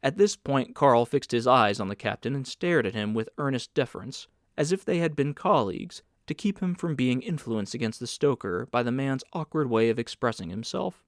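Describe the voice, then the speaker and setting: A man reading literary fiction aloud as a scripted, measured audiobook narration. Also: medium pitch, expressive, no room sound, clean studio sound. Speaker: audiobook reader.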